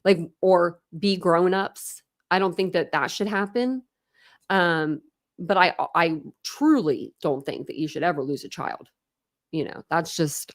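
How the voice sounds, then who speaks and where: A young woman, chatting casually on a livestream and rambling with lots of fillers, her voice going hoarse. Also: low voice, dry recording, clean studio sound. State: losing her voice, throat clearing. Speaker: true crime streamer.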